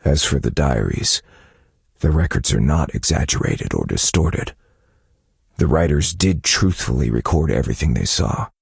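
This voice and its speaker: An actor playing a brooding, analytical detective-novelist in a game, with a gravelly, husky voice, musing to himself in a measured, contemplative way. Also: male voice, very deep voice, lively intonation, no room sound, clean studio sound, full character voice. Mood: grave, reflective. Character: brooding, analytical male detective-novelist unraveling dark mysteries. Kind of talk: scripted game character monologue.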